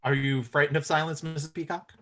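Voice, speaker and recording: A man playing a pompous professor of psychiatry, measured and needling, in a comedy table read. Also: on the high side, steady tone, pushed and bright. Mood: clinical. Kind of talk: live table read of a comic film script.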